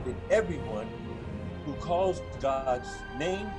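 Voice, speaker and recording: A man playing a fervent apostle in a church scripture drama, preaching to a crowd in an emphatic, declamatory way with deliberate pauses. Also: mid-range voice, expressive. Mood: prophetic. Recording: music playing behind the voice.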